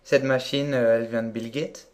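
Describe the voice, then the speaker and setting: Deadpan man making a prank call. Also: mid-range voice, normal speed. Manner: hesitant, deadpan, persistent questioning. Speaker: prank caller posing as a buyer. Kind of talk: unscripted prank phone call.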